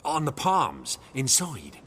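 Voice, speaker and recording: An actor playing a poor, humble, frightened peasant in a fantasy game, deferential, halting and speaking in rustic, uneducated dialect. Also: male voice, mid-range voice, huge pitch swings, fairly bright tone, faint music behind, full character voice. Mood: haunted, tense. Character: poor, fearful, humble peasant man recounting dread events. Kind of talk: video game character acting.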